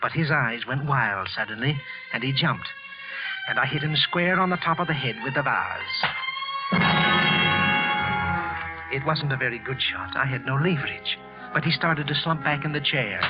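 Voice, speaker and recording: A nervous office worker played by a man narrates his crime in an old radio drama. His mid-range voice moves between hushed brooding and agitated intensity. The recording is dull and muffled, with music behind it. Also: mid-range voice, big pitch swings, normal speed, close to an everyday voice. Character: nervous male office employee confessing a murder.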